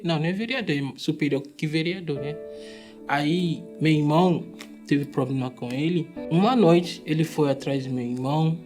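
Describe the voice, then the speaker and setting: Higher-voiced young man with Nigerian accent. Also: a little mellow. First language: not Portuguese. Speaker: refugee, English teacher and musician. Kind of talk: testimonial in a documentary.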